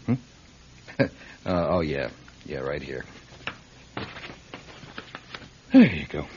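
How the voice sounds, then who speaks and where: An actor playing a troubled, doubting airline pilot in a radio drama, hesitant yet insistent. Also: male voice, rumbling low, big pitch swings, a little slow, darkish tone, heavily acted. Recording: dull old radio, faint noise.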